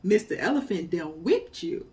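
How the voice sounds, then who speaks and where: A woman voicing a cheeky, boastful male monkey who taunts in a high, sassy voice. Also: very animated, moderate pace, a little mellow. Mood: taunting.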